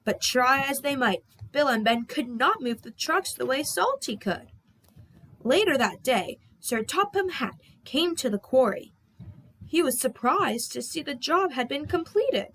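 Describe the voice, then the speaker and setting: A woman reading a children's storybook aloud as an expressive narrator. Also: high voice, unhurried, slightly rough, low-quality recording. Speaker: storybook reader.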